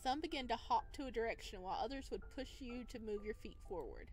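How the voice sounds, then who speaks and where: Woman, somewhat high voice, excitable gaming creator, story narration and gushing commentary, background music. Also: quick pace. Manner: gushing, excitable over cute things. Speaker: gaming video creator reading a visual novel aloud. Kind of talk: dramatic read-aloud with excited playthrough commentary.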